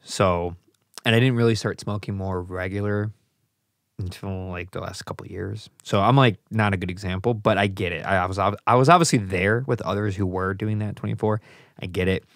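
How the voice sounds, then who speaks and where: A man in his thirties with a very low voice hosts a podcast, chatting and teasing his guest. He speaks into a desk mic in a small studio. The sound is close and dry. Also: steady tone, fairly quick, gravelly, no echo. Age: 30s. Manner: chatty, teasing, laughs easily. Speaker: podcast host. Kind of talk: casual podcast interview. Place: small studio with colored lights and a table. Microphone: studio mic on a desk stand, headphones.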